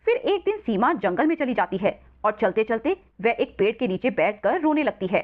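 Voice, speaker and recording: A woman's voice: on the high side, sing-song, normal speed. A woman narrating a scripted children's story as storyteller, on a narrow phone-like recording.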